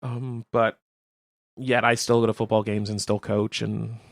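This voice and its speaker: A man co-hosting a radio show, chatting casually in an agreeable, measured manner. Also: on the low side, flat delivery, gravelly, no room sound, studio quality. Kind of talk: casual chat.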